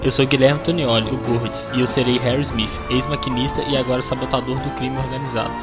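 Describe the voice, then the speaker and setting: A man presents himself and his game character on a roleplaying podcast. His mid-pitched voice stays very flat, and loud music plays behind it. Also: fairly quick. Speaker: podcast player.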